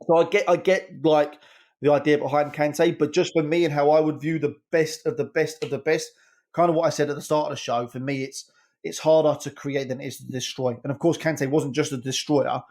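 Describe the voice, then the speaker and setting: A man giving his opinions on a casual football podcast, rambling quickly and restarting his sentences. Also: fairly high pitch, fairly even intonation, a little mellow. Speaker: podcast regular, head coach segment.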